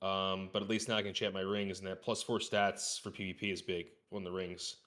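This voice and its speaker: A man's voice: sits low, little pitch movement, brisk, a bit bright. A man talking casually as a guest on a gaming podcast, using informal gamer slang.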